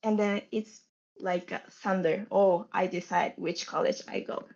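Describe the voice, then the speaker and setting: Young woman, japanese accent, talks slow and picks her words, kinda dull lo-fi sound. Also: mid-range voice, steady tone, gravelly, a little mellow. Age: young adult. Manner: unhurried, searching for words. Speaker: guest. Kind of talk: casual podcast chat.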